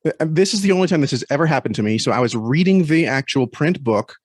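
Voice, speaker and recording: A man's voice: a little high, lively intonation, slightly rough, no echo. Earnest man in a dry, close recording. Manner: earnest, halting and reflective. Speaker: podcast guest and story critic. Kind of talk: casual interview talk.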